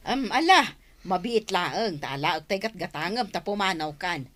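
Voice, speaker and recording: A woman's voice: medium pitch, lively intonation, unhurried, fairly bright tone. A woman playing a chatty, nosy village food vendor in a radio drama, folksy and gossipy, with an Ilocano accent. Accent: Ilocano Filipino. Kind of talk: Filipino radio drama acting.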